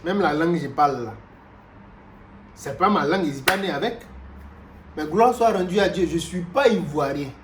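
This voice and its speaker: A man from Cameroon, preaching a sermon in an animated way, moving from loud exclamations to quiet asides and repeating himself often. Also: a little high, moderate pace, long pauses. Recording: roomy echo with faint background noise.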